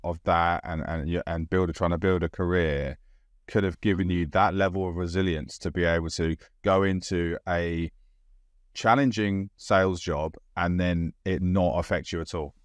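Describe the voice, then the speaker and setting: A man in his forties hosts a podcast over a video call, speaking through an earphone mic in a dry home room. His voice sits very low for a man. He talks in a reflective way, searching for his words as he goes. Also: steady tone, moderate pace, no room sound. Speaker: podcast host. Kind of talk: conversational interviewing. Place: dark home room with bookshelves.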